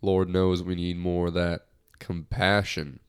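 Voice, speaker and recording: Man, young adult, very low voice, smooth, slightly nasal, lighthearted rambling podcast host. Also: level tone, measured pace. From United States. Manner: lighthearted, rambling, many restarts. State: slightly nasal from a recent cold. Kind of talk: casual solo podcast talk.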